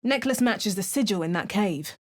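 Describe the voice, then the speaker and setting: A woman's voice: medium pitch, animated, moderate pace, close to an everyday voice. A woman voicing a self-assured young adventurer who delivers game voice lines with dry, wry asides. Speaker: player character adventurer.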